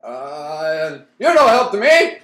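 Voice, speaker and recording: A man's voice: on the high side, big pitch swings, slow, a bit bright, exaggerated voice. Frantic, theatrical man, played by an actor, echoey. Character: frantic, theatrical man, given to florid lamenting. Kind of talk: old-time radio play acting. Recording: roomy, echoing recording.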